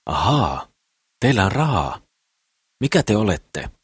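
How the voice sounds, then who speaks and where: A man voicing a brash young woman in an audiobook, brisk and scornful but comforting. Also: on the low side, sing-song, husky, dry recording, studio quality, pitched above the performer's own voice. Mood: excited. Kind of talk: audiobook character voice.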